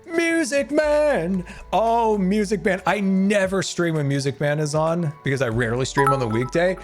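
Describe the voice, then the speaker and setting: A man chatting casually on a game live stream, excitable and talkative, thanking his viewers as he plays. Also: fairly high pitch.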